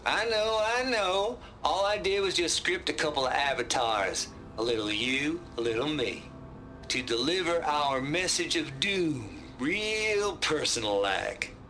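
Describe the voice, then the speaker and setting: Folksy bragging game hacker, high swoopy voice, takes his time. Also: expressive, unhurried, pushed and bright, soft background music. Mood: defensive. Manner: drawling, rustic grammar, gleeful. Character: boastful folksy male hacker prankster. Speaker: video game character.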